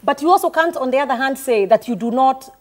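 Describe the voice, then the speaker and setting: A Kenyan woman with a somewhat high voice argues her case on a panel. She speaks in a measured, reasoning way and holds firm. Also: expressive. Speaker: senator, panel guest. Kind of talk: debate rebuttal.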